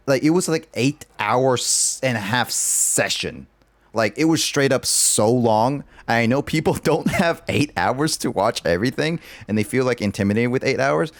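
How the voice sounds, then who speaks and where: A man's voice: lowish voice, no echo. A man chatting casually on a livestream, rambling and filling his talk with "like". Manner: rambling, loaded with "like" fillers.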